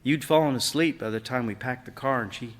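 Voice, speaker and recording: A gentle, patient widowed father voiced by a man reading fiction talks conversationally to his child. The voice sits at a medium pitch in a long, reverberant hall. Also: brisk, close to an everyday voice. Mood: explaining.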